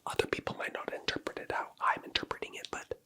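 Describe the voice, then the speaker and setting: An ASMR performer whispering softly and teasingly, close to the mic, in an unhurried roleplay as a barber. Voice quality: breathy whisper. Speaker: ASMR creator playing a barber in a haircut roleplay. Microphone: close-up ASMR microphone.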